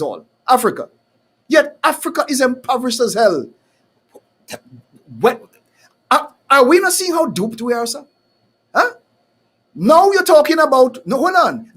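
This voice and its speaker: Man, talk show host, high voice, fiery, emphatic, rhetorical questions. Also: fairly bright tone. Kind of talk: heated call-in style talk.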